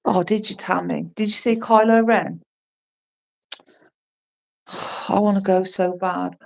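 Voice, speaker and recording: A woman's voice: mid-range voice, slow pace, gravelly, far from the mic. Chatty woman in her forties, slightly off-mic. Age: 40s. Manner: chatty, rambling, excitable. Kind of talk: casual chat.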